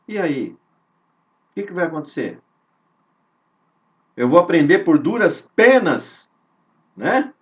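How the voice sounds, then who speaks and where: A Brazilian man leading a spiritist study, earnest and repetitive, asking rhetorical questions and drawing out key words. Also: fairly high pitch. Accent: Brazilian Portuguese. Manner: earnest, repeats phrases, rhetorical questions, drawn-out emphasis. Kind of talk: informal devotional teaching. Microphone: video call. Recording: dull lo-fi sound from a distance.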